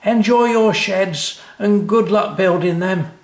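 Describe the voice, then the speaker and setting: A man's voice: sits high, slow pace, echoey room, voice pushed up. A man voicing a matey, breezy lorry driver in a children's story.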